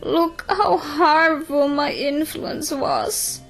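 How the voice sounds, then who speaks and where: A woman acts out an anguished demoness nun in an audio drama. Her voice is pitched high and slow, plaintive and heavy with guilt, with faint music behind. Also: heavily acted, poor audio. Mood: sobbing, guilty. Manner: plaintive, sobbing, longing.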